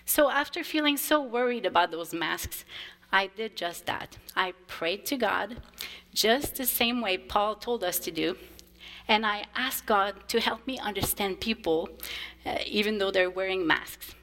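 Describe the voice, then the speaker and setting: A woman whose first language is French, a choir director, gives a gentle children's message in church. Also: mid-range voice, a little slow. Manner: warm, simple storytelling to children. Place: church sanctuary. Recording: long reverb of a church sanctuary.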